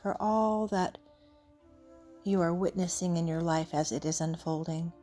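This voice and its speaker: A woman leading an unscripted guided meditation in a soothing, gentle, unhurried way with long pauses. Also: lowish voice. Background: soft background music.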